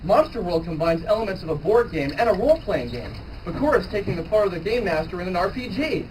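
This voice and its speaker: A man's voice: sits high, very animated, fast talker. A man narrating a live comic manga reading at a convention, recorded from a distance. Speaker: co-reader. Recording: noisy convention recording, far from mic.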